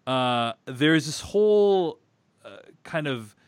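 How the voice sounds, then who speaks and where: A man's voice: a little high, expressive, takes it slowly, smooth, no room sound. A man hosting a podcast, talking through a film in a rambling way with many restarts and fillers. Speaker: podcast host. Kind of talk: casual film discussion.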